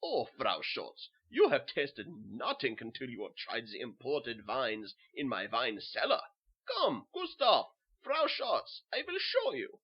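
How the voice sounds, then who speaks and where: A husky, slow-spoken German master , acted by a man urges and orders in a horror tale. His put-on German accent swings dramatically up and down, sly and falsely jovial, yet imperious. The recording is dull and lo-fi. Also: medium pitch, huge pitch swings, full character voice. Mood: coaxing, jovial. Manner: coaxing, insistent, imperious. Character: sly, commanding male German butcher and officer, falsely jovial. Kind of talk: theatrical horror story acting.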